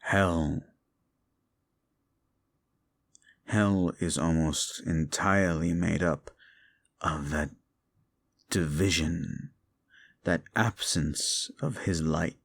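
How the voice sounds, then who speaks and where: A man voicing a bitter, ancient fallen demon who speaks scornfully to a listener in an audio drama, dropping to hushes and rising to shouts. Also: low-pitched, takes it slowly, creaky, heavily acted. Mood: somber. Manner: contemptuous, swings from hushed to shouting.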